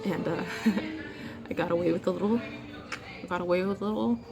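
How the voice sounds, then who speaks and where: A woman's voice: fairly low pitch, normal speed, gravelly, music in the background. Rambling, sleep-deprived young woman vlogging. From South Florida. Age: 20s. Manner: rambling and chatty with many fillers. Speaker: vlogger and night shift nurse. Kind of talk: casual vlog chat. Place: airport terminal.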